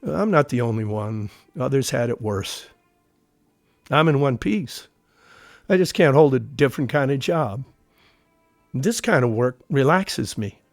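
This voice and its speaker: A nervous ex-soldier turned painter in an audio play. His words are terse and guarded, yet the pitch moves around a lot. The recording is close and dry. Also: expressive, a little slow, gravelly, pauses often, no room sound, faint music behind. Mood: guarded, curt. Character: nervous, guarded male army veteran working as a painter. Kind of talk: audio drama dialogue.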